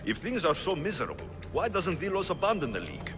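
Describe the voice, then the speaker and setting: An actor playing a confident, wry ancient Greek mercenary hero in a video game, speaking in a direct, dry-humored way. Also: male voice, a little high, huge pitch swings, quick pace. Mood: questioning. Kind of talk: dramatic video game cutscene acting. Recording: reverberant hall, music behind.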